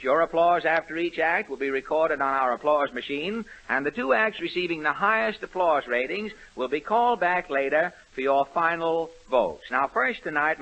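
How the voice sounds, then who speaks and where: A man's voice: a little high, sharp tone, lo-fi sound. A man hosting a 1930s radio amateur contest, wry and joking, stumbling over many uh's on an old broadcast recording. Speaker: radio show host.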